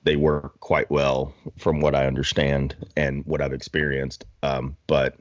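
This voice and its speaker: A man's voice: a bass voice, level tone, a little slow, no room sound, highs cut off. A man chatting casually on a podcast, rambling and self-deprecating with jokes. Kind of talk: casual chat.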